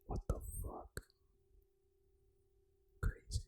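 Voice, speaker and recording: An ASMR gaming host, reading trivia in a breathy, unvoiced whisper with casual, informal asides. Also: mellow. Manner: casual, informal, unhurried reactions to what is read.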